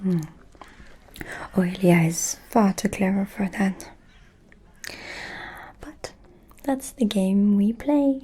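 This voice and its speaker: A woman performs a seductive, scheming vampire noblewoman for an ASMR roleplay. Her voice is a very husky, breathy whisper with a mellow color. She speaks slowly and deliberately, confiding gossip with sly pauses. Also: medium pitch. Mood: sly, conspiratorial. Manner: slow, deliberate, insinuating with pauses.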